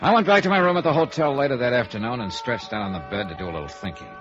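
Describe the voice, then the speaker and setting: A man acting a wry private investigator in vintage radio noir. The mid-pitched delivery is clipped and probing, rising and falling freely. The recording is dull and old, with music in the broadcast. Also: racing, natural voice. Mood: dry, matter-of-fact. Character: hard-boiled, wry male freelance insurance investigator. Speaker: radio drama lead actor, narrator. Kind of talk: 1950s radio noir acting, first-person narration.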